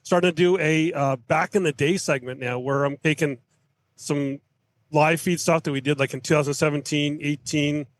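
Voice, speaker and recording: A man's voice: a little high, fairly even intonation, moderate pace. A man chatting casually as a podcast guest, telling self-deprecating anecdotes.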